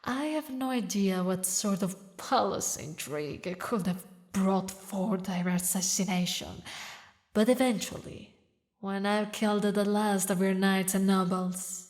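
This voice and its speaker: A woman voicing a grieving, vengeful demon queen who is tender toward her lost lover, speaking dramatically and haltingly in a romantic audio roleplay, at times in a breathy whisper. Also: mid-range voice, a little mellow, natural voice. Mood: cold, vengeful.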